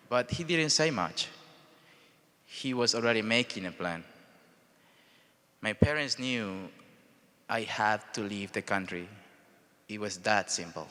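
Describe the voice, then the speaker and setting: A young man from El Salvador with a Salvadoran Spanish accent gives a prepared personal speech in short, deliberate phrases. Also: lowish voice, pushed and bright. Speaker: speaker telling his life story at a charity event. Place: large event hall, speaking to an audience. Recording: reverberant large hall sound.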